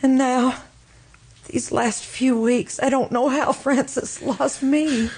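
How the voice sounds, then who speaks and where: An actress playing a grief-stricken, obsessive young widow in an old-time radio drama, pleading in a fervent, melodramatic way. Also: female voice, sits high, steady tone, takes it slowly, a little mellow, slightly rough, full character voice. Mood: desperate pleading.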